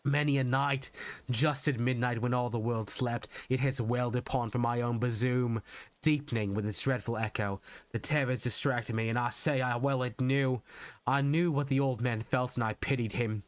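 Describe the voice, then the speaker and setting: A man acts out an unhinged murderer who proudly insists on his sanity. His medium-pitched voice is theatrical and deliberate, leaning on pauses and emphatic repetition. It is a Gothic horror monologue, recorded very dry. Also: fairly even intonation, no echo, close to an everyday voice. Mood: brooding, gloating. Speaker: VTuber practicing voice acting.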